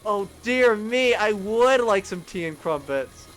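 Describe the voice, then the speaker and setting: Brief: man doing a pompous mock-posh Englishman, drawn-out English accent, lively sing-song pitch. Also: faint music behind, exaggerated voice. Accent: mock upper-class English. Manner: hammy mocking British put-on, drawn out. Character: exaggerated posh old-timey Englishman.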